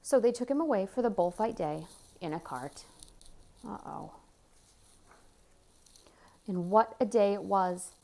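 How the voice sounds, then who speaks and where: A woman's voice: mid-range voice, animated, measured pace, gravelly, long pauses, dark tone. A woman reading a children's story aloud expressively, with chatty asides and questions to the young listener. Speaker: picture-book reader.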